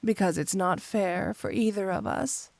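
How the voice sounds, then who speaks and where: Slow, creaky, flirty supervillainess, acted by a woman. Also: mid-range voice, no room sound. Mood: playful, guarded.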